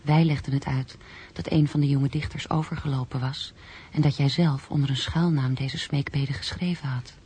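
An actress playing a polite, sensitive young female teacher in a radio drama, speaking in an earnest, soft way. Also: a bass voice, fairly even intonation, moderate pace, husky, heavily acted. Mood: uneasy, earnest. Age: young adult. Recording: radio play with music underneath.

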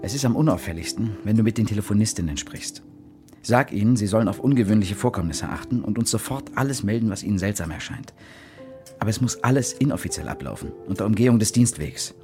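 A man's voice: on the low side, animated, fast, dark tone, natural voice. An actor as an urgent, obsessed male investigator, music behind. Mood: urgent, conspiratorial. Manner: urgent, insistent. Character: intense, obsessed male police investigator hunting a monster. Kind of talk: German radio drama acting.

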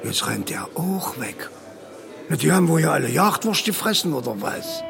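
A tactless, jovial male cop chatters in a high, gravelly voice. It is colloquial radio drama with music behind him. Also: sing-song, normal speed, exaggerated voice. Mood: gossiping. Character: chummy, tactless, chattering male police colleague. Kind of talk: naturalistic German radio drama acting.

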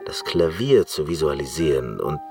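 An actor playing an eccentric, reclusive concert pianist in a radio play monologue, speaking in an intense, deliberate, reflective way. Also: male voice, extremely low pitch, sing-song, over music, full character voice.

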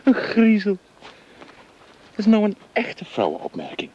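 An actor playing a possessive, coaxing artist boyfriend in a radio drama, patronizing and teasing in manner. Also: male voice, high-pitched, huge pitch swings, a little slow, a little mellow, dull audio, a little hiss or hum, exaggerated voice.

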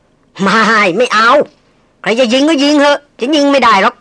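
A woman's voice: expressive, moderate pace, fairly bright tone, no room sound. A woman voicing a stubborn young woman doctor who speaks in a raised, insistent tone in an audiobook reading. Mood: refusing. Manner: high-pitched, insistent.

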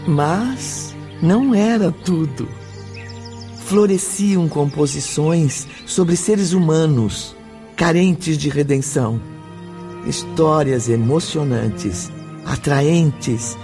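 A man narrating a radio drama in a measured, solemn way. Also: a little high, animated. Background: music.

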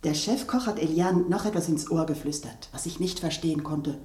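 An actress in a German radio drama playing a fussy, gossiping sauce boat who speaks in a deliberate, precise, confiding manner. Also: female voice, low voice, dark tone, reverberant, close to an everyday voice. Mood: curious.

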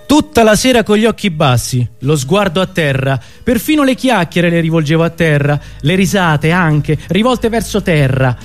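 A man's voice: fairly high pitch, very animated, moderate pace, few pauses. A man performing a scripted, dramatic literary monologue for radio. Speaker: radio show author and performer. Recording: music bed behind the voice. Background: music.

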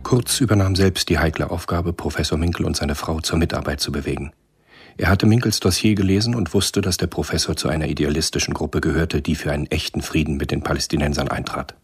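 An actor as the composed narrator of a German radio spy thriller, telling the story in a measured, literary way. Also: male voice, very deep voice, husky, full character voice. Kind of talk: scripted radio drama narration.

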